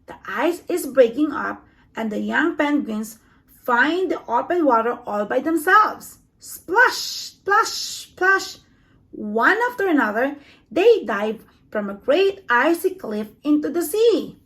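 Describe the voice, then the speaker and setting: A woman reading a children's picture book aloud in an expressive storytelling manner, giving extra emphasis to sound words. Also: high voice, slow pace. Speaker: storybook reader.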